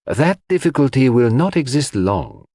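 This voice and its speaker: A man's voice: mid-range voice, very animated, normal speed, dark tone, no room sound, clean studio sound, voice pushed up. A man voicing a fussy, shrewd older detective, precise and theatrical in an audiobook. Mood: brisk, precise. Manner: precise, theatrical, formal phrasing. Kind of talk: audiobook character acting.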